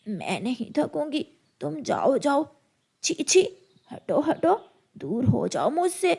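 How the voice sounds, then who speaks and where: A woman voicing a frail, anxious elderly crow grandmother in a cartoon story, speaking haltingly and repeating her words. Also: sits high, sing-song, gravelly, darkish tone, full character voice. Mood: nervous refusing. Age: older.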